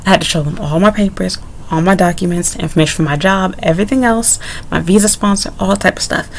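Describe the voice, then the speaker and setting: A young woman recounts a personal ordeal straight to camera, loose and candid, drifting into side remarks before picking the thread back up. Her voice sits fairly low with a noticeable gravelly fry running through it. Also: fast, slight background noise. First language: English. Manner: candid, rambling with frequent asides. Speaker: vlogger. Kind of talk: casual story-time monologue.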